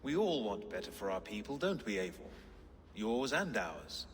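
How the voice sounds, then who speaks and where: Animated, wry diplomat. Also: male voice, lowish voice, normal speed, big-room sound, a little hiss or hum. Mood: tactful, conciliatory. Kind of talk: video game cutscene acting.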